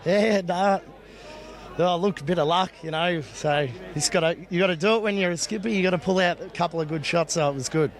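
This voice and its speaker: Australian man, skipper, casual and upbeat, a bit high voice, outdoors. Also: a little fast. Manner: upbeat, casual, says "look" and "mate". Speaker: bowls skipper. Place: outdoor bowling green.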